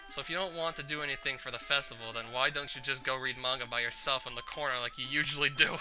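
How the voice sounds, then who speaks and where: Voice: medium pitch, steady tone, fast talker, music in the background, natural voice. A performer voicing a stern, condescending teenage girl club president who scolds in a visual novel. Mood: icy, sarcastic.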